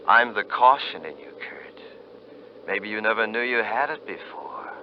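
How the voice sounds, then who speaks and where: An actor playing the eerie inner voice of a man's caution, speaking slowly in a taunting, half-whispered way, in a 1950s radio drama. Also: lowish voice, expressive, a little hiss or hum. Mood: menacing. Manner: slow, insinuating, half-whispered. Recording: muffled vintage radio recording.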